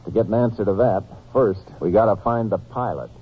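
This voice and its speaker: An actor playing a steady, shrewd Texas Ranger in a 1950s radio drama, speaking and narrating in a terse, matter-of-fact way. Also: male voice, on the low side, a little fast, lightly acted. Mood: businesslike. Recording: muffled old-time radio recording with music.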